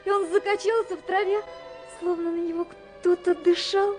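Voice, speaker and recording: A woman's voice: very high voice, steady tone, normal speed, music in the background. An actress playing a tender, dreamy young woman in love, reading her letters in a wistful, intimate way for a radio drama. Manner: wistful, intimate, softly wondering.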